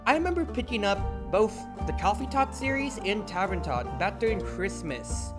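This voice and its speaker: Chatty lady streamer, rambles a bit and hams it up, really animated, game music behind. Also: mid-range voice, normal speed. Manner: chatty, rambling, playfully theatrical. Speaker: streamer and host. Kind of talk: casual game-stream chat.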